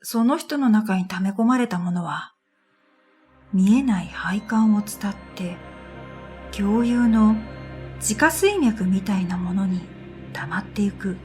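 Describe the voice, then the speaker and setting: A woman playing a pensive dream reader, narrating her own story in a quiet, measured radio drama style. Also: mid-range voice, lively intonation, faint music behind. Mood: pensive, calm. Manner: quiet, measured, pensive, trailing into breathy phrase ends. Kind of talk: scripted first-person radio drama narration.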